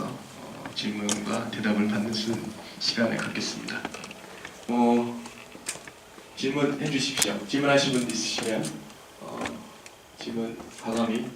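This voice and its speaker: A man's voice: on the low side, gravelly. A man moderating a Q&A session, talking hesitantly with many fillers. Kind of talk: hosting a Q&A. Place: conference hall. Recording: echoey hall, distant mic, noisy.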